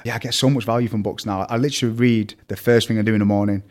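A young man with a strong Mancunian accent, telling stories as a podcast guest in fast, scattered casual chat. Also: fairly low pitch, steady tone, darkish tone. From Manchester, England. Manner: fast, scattered storytelling with fillers.

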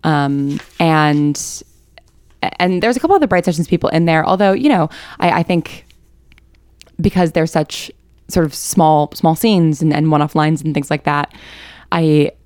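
A woman with a fairly low voice talks as a guest in a casual interview. She is tentative as she explains, and the sound is very dry and close. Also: unhurried, a little mellow, clear, resonant tone, no room sound.

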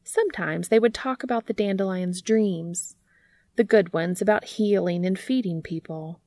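A woman reading scripted story narration in a gentle, measured manner. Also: medium pitch, no echo. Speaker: narrator reading fan fiction.

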